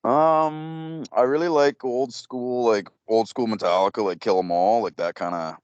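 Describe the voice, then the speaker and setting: A man's voice: fairly even intonation, unhurried, smooth, no echo, dull audio. A young man, a metal band vocalist, giving casual interview answers with lots of fillers and restarts. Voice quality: low voice. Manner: rambling, many fillers and restarts.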